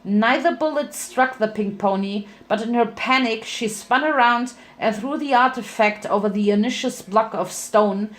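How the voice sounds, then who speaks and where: A woman narrating a story as a dramatic reading, now and then stumbling and rereading a phrase. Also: fairly high pitch. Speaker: fan fiction reader.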